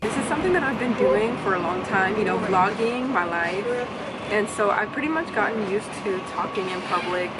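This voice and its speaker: A young woman talking candidly to a handheld camera in a casual vlog, soft-spoken with frequent ums, filming on a bus and in a supermarket. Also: mid-range voice, animated, normal speed, over loud music, poor audio. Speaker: vlogger. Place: busy public places in a city, on a bus and in a crowded supermarket. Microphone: handheld self-filming camera. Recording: loud crowd and street noise. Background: crowd chatter and traffic noise.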